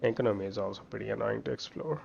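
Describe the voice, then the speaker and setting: Male streamer, gravelly and musing, casual play-by-play, slightly muffled recording. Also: on the low side, racing, slight background noise. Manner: musing, thinking aloud while playing. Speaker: game streamer. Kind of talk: casual gameplay commentary. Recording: dull lo-fi microphone sound. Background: game music.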